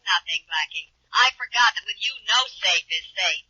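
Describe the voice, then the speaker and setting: An actress playing a detective's wry, anxious girlfriend, quick and pointed, in 1940s radio drama. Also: medium pitch, close to an everyday voice. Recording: dull, dry old radio recording.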